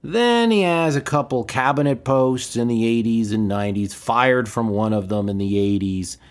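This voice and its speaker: An American man hosting a podcast, explaining and giving his views in a measured, emphatic solo commentary. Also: medium pitch. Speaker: history and politics podcast host.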